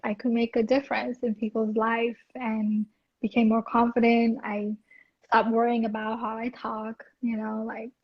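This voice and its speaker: A woman with a soft voice, talking hesitantly with many restarts as a guest in a casual interview. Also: on the high side, little pitch movement, moderate pace. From India. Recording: dull lo-fi audio.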